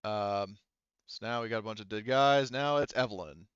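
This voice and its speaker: A man acting as game master for a tabletop game over voice chat, pausing often with ums as he works through the rules. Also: on the low side, fairly bright tone, clear, resonant tone, no room sound, dull audio. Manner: halting, many ums and restarts while narrating rules. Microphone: headset.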